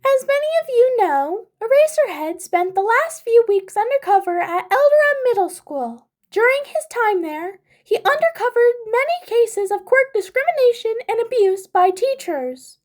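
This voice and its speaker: A tiny, chipper creature principal in an audiobook. The voice is extremely high and swoops up and down with great animation. It speaks in formal, precise, courteous phrases. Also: female voice, animated, moderate pace, hardly any pauses, darkish tone. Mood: brisk, formal. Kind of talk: fan fiction audiobook character voice.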